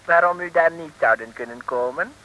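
An actor playing a proud, excitable islander with a mock Chinese accent and pidgin phrasing in a 1950s radio serial. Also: male voice, a little high, moderate pace, dull audio, lightly acted. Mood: proud, emphatic. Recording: old radio drama recording.

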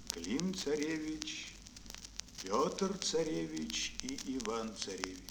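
An actor playing a sorrowful old king in an audio fairy-tale play, speaking in a solemn, weighty, deliberate way. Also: medium pitch. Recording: noisy old mono record with clicks.

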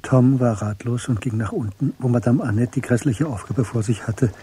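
The composed narrator of a crime radio play. His voice is very dark and breathy, middle in pitch, and he reads with measured understatement. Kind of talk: scripted radio drama narration.

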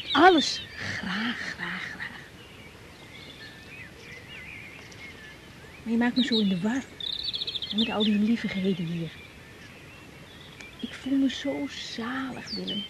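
An actress playing a young woman doctor in a radio drama, teasing and cynical, going soft and hushed. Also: on the high side, background noise. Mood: tender. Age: young adult. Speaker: general practitioner. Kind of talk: 1980s radio drama acting.

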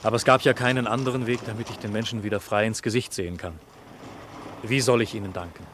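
Earnest, vindicated gentleman played by a man, fairly low voice, clear street noise, 1950s radio play. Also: steady tone, quick pace, natural voice. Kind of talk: 1950s German radio drama acting.

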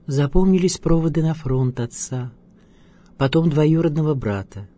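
A man's voice: a little high, normal speed, dark tone. A man reading a scripted biographical narration as the presenter of a radio programme.

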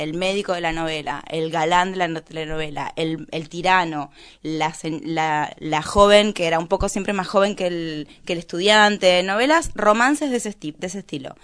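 A woman's voice: on the low side, a bit bright, clear, resonant tone. A woman with an Argentine accent chatting casually as a radio columnist, telling a story in a gossipy, conversational way.

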